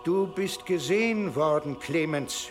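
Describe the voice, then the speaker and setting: An actor in a radio play playing a powerful medieval archbishop, accusing and mocking in a deliberate manner. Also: male voice, on the high side, music in the background, lightly acted. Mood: stern accusation.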